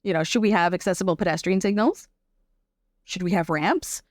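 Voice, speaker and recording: A woman's voice: lowish voice, brisk, no room sound, studio quality. Canadian woman, casual thoughtful interview guest. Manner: informal, thoughtful, explains through examples and rhetorical questions. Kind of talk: casual, reflective interview talk.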